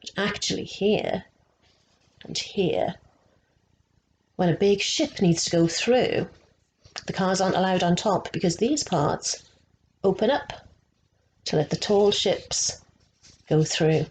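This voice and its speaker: A woman reading a picture book aloud to children, slow and expressive, stopping to ask them questions. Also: medium pitch, poor audio. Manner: slow and expressive, asking the young listeners questions.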